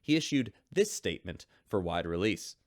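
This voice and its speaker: Guy doing a chatty starship radio newsman, animated voice, dry studio sound. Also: low-pitched, moderate pace, no room sound. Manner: chatty, wry. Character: upbeat male radio news host on a starship. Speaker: radio host and reporter. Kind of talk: scripted news narration.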